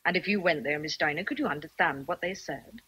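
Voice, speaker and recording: Raspy, blunt housekeeper. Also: female voice, sits low, quick pace, a bit bright, no room sound, exaggerated voice. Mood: sceptical, fussing. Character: blunt, fussing, plain-spoken housekeeper. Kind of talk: radio drama acting.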